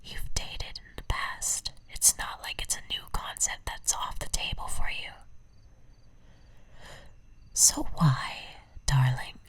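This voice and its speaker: A woman playing an obsessive, possessive stalker in an ASMR roleplay, whispering softly and breathily with tender menace and ornate, deliberate endearments. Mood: coaxing, tender.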